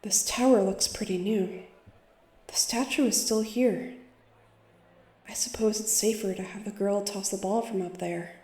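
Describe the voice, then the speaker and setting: A woman's voice: medium pitch, unhurried, darkish tone, slightly rough. A woman playing a cheeky young male traveling bard in a manga dub, speaking in theatrical, lilting rhymes. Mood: musing.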